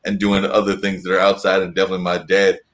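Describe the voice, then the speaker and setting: Low-voiced man hosting an interview. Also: completely flat delivery, fast talker, a bit bright. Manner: rambling, long winding questions. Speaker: interview host. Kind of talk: conversational interview.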